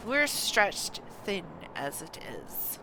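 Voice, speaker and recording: Woman acting a curt, loud, haughty knight commander. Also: medium pitch, huge pitch swings, drawn-out words, noisy background, full character voice. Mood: impatient, scornful. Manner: brusque, imperious, impatient. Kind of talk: reading video game dialogue aloud.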